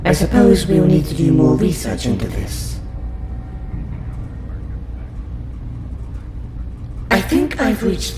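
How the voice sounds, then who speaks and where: A performer with a woman's voice playing a courteous, curious alien scientist in an audio roleplay, questioning a human in formal, clinical wording. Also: medium pitch. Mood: courteous, businesslike. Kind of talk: audio roleplay monologue to the listener. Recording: roomy echo under loud music.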